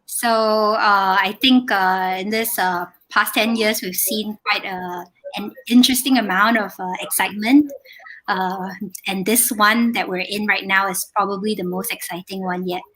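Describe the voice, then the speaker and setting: A woman from Singapore, a restaurant group owner speaking as a panel guest with frequent filler pauses. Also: medium pitch, fairly even intonation, a bit bright, poor audio. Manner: measured, many filler pauses. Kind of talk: panel discussion.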